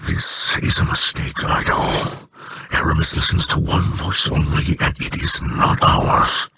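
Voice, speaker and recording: An actor playing a stern, threatening alien male warrior in a video game, with a deep gravelly voice. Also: fairly even intonation, a little slow, bright, forceful tone, no room sound, heavily acted. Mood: menacing.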